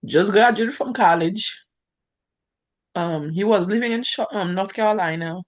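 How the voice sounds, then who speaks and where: A woman whose first language is Creole, giving a soft-spoken, emotional testimony on a call. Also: medium pitch, unhurried. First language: Haitian Creole. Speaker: counselor exam passer. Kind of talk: personal testimony on a video call.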